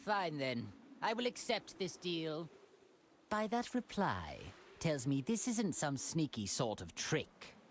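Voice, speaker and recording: A man's voice: a little high, sing-song, takes it slowly, a little hiss or hum. A man playing a haughty, cruel alien tyrant in an anime fighting game, with pompous, refined and disdainful speech. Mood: smug, scornful. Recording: music behind the voice.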